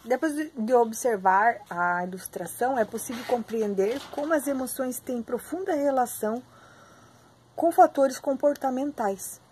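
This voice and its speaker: A young adult woman with a Brazilian Portuguese accent teaches an online class from a textbook. She sounds hoarse and husky, keeps clearing her throat, fills her talk with hesitations and speaks straight to her students. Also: fairly high pitch, darkish tone. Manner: filler-heavy, addresses students directly. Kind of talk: online lecture reading from a textbook.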